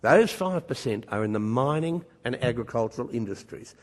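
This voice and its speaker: A man's voice: medium pitch, lively intonation, normal speed. An older man gives a conference speech, emphatic and wry, full of rhetorical questions. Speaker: conference speaker. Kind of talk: public speech at a mining conference. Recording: reverberant conference hall.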